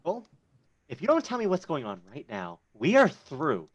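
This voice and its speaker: A man's voice: a little high, wildly swooping pitch, normal speed, breathy edge, highs cut off, full character voice. A man playing a bossy, indignant female monster girlfriend with a demanding, dramatic delivery in a script read. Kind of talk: cast table read of a film script.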